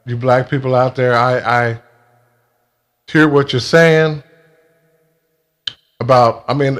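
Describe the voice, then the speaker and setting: A man speaking in a casual monologue, with a halting, blunt and emphatic way of talking. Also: medium pitch, fairly bright tone. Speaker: video creator telling a personal grievance.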